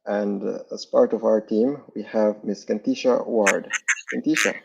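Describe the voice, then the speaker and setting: A man's voice: lowish voice, steady tone, a little slow, gravelly, husky. A man hosting an online training over a video call, formally making announcements and introducing the team. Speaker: training host. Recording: dull lo-fi video call audio.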